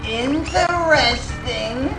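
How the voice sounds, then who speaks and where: An actor playing an unhinged, menacing male mad scientist in a video game, with a halting, erratic delivery. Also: very high-pitched, creaky, exaggerated voice. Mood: manic menace. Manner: halting, stuttering, erratic, giggling. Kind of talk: video game cutscene acting. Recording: echoey, distant, music underneath.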